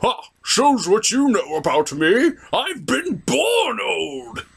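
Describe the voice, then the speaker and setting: A man voicing a crude, boastful, senile old wizard pony in a raspy, cantankerous comedy puppet-show voice. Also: high voice, wildly swooping pitch, takes it slowly, fairly bright tone, exaggerated voice. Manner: cantankerous, boastful, foul-mouthed.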